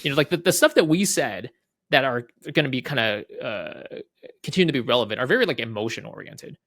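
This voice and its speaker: A man speaking as an interview guest, explaining casually in halting phrases with repeated words and frequent checking tags. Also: medium pitch, animated, a bit bright, dry recording, studio quality. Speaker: interview guest, head of product at a software company.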